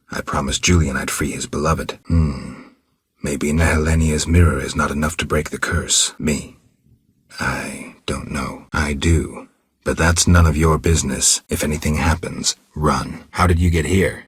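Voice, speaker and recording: A man playing a stoic, gruff monster hunter in a video game, speaking in a terse, measured deadpan with a gravelly, husky voice. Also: very deep voice, no echo, studio quality, exaggerated voice. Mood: quiet, grave. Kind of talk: video game character dialogue.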